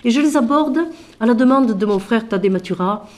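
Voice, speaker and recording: A woman as a Franciscan sister with a cold, higher-pitched voice, expressive speaker, asks rhetorical questions, author talk. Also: brisk. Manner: expressive, shifting from loud emphasis to quiet asides. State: has a cold. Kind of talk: animated talk about her book.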